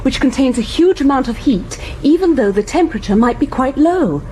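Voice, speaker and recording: Earnest clever woman, highish lively voice, persistent explaining, radio play, faint noise. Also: fairly quick. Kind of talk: radio drama acting.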